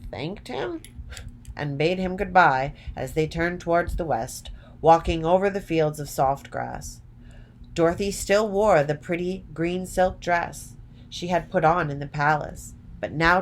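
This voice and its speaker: A woman narrating a children's story from a script, deliberately, phrase by phrase. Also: low voice, a little hiss or hum.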